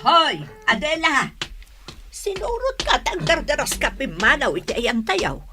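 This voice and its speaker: A bossy mother in an Ilocano radio play. Her voice is bright, forceful and a little high, always insisting and scolding. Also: sing-song, a little slow, full character voice. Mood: impatient. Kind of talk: Ilocano radio drama acting.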